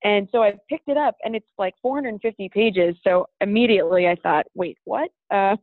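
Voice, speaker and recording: A woman's voice: medium pitch, moderate pace, no room sound. A woman who teaches talks casually about books as a podcast co-host, chatty and full of fillers and restarts. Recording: dry, tinny phone-like line.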